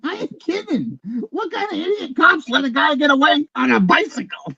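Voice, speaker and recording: A woman's voice: high voice, very animated, moderate pace, gravelly, pushed and bright, dry recording, heavily acted. A woman playing a wry, folksy gossip in a comic staged reading, over dull video-call audio. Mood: gossipy. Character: wry, folksy gossip in amateur theater group.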